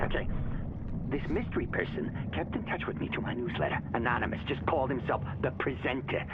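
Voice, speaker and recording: A frantic, paranoid fringe-magazine writer in a video game. He stammers and rambles, his pitch swinging wildly, through a muffled, noisy phone connection. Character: panicked paranoid male conspiracy-zine writer on the run.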